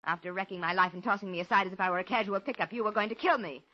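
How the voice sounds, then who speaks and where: A woman's voice: medium pitch, racing, close to an everyday voice. An actress playing a scorned, scheming woman turned killer in a theatrical, heightened 1940s radio drama style. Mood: cold, vengeful. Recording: noisy, muffled old radio broadcast recording.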